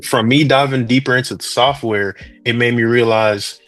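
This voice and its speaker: A young man from Trinidad, now a 3D artist, talking about his path in a relaxed, rambling interview manner. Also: medium pitch, normal speed, dry recording, soft background music. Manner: laid-back, rambling, slangy. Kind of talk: casual interview chat.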